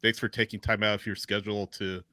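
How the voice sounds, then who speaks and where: Bright male podcast host, dry sound. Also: fairly low pitch, flat delivery, fast talker, no echo. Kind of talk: casual chat.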